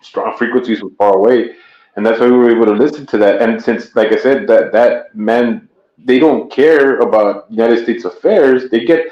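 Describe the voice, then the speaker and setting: A man's voice: mid-range voice, moderate pace. Rambling man in a roomy, dull room. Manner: rambling, stammers and restarts, easy laugh. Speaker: streamer host. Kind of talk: casual chat. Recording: roomy, dull stream audio.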